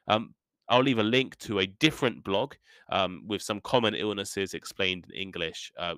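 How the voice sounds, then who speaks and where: Man, podcast teacher, slow careful delivery, bright voice, dry close mic. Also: medium pitch, clear, resonant tone, no echo. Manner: careful, deliberate teacher delivery with occasional ums. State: voice still slightly rough from a cold. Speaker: podcast host and English teacher. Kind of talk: slow, clear podcast lesson for learners.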